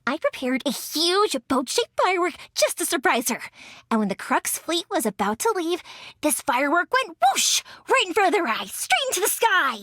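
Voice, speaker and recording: A woman's voice: extremely high pitch, sharp tone, no room sound, studio quality, heavily acted. A woman voicing a cheerful, playful young fireworks maker in game voice lines, bubbly and teasing. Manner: bubbly, teasing, bursts of giggles. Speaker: game character.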